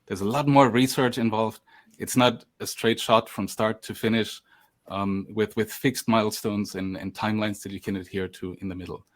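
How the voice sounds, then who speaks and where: Articulate male panelist, lower pitch, steady delivery. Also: normal speed. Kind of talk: panel discussion.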